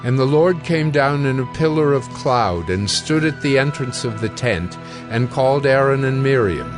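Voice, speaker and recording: A man narrating scripture from a script in a measured, solemn manner. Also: medium pitch, animated. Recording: music bed under the voice.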